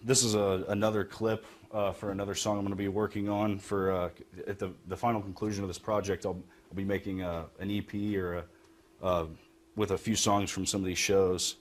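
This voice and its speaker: A young man giving an informal project presentation as a student, in a hesitant, halting way. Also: on the low side, level tone. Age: young adult. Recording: noisy room recording.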